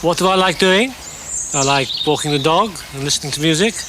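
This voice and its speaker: A man's voice: on the high side, fairly quick, noisy background. A man from Wales, the father of the family, chatting casually and directing his children in a friendly way.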